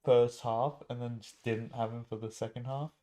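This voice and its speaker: A man's voice: medium pitch, fairly even intonation, moderate pace, mellow. A man co-hosting a podcast, chatting casually and bluntly with plenty of swearing and slang. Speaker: podcast co-host. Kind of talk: casual chat.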